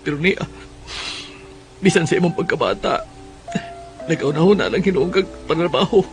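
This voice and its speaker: Man playing regretful Bisaya father, high-pitched. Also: animated, moderate pace, over music, low-quality recording. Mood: regretful, sad. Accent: Cebuano Bisaya. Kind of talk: Bisaya radio drama acting.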